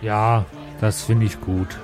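A man's voice: fairly low pitch, level tone, slow. A man playing a sociable, chatty young adventurer in a tabletop game, talking in an earnest, rambling way. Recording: music and faint crowd sounds behind.

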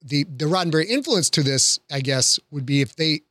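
A man's voice: a little high, expressive, brisk, pushed and bright, no echo, studio quality. A man, an author talking as a guest in a casual discussion and explaining his thoughts.

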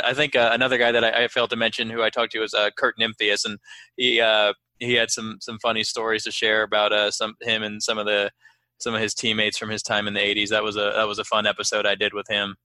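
A man hosting a podcast, chatting casually with his guest in a slightly halting way, repeating words as he goes. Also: on the low side, completely flat delivery, bright, forceful tone, no room sound. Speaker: podcast host, interviewer. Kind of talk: casual interview chat.

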